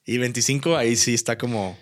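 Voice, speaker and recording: A young man with a Mexican accent chats as a podcast co-host. His voice sits at a middle pitch. He speaks informally, using everyday slang. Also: fairly quick. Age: young adult. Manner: slangy, colloquial. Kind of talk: casual chat.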